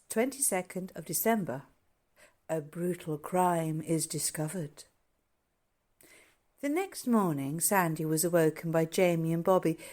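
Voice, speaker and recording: Female author-narrator, lowish voice, scripted novel reading. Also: warm tone.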